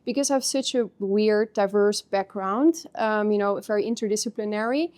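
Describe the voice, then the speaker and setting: A woman's voice: medium pitch, normal speed. A woman from the Netherlands with a Dutch accent, talking frankly and casually on a podcast about her career, with plenty of fillers. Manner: frank and animated, with many fillers and laughing asides. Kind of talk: casual conversational interview.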